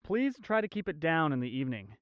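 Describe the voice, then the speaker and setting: A man's voice: a little high, very animated, fast, no room sound, muffled recording. A man playing a polite, dutiful police officer in a dramatic manga dub, courteous and protective. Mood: polite suspicion. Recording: music behind the voice.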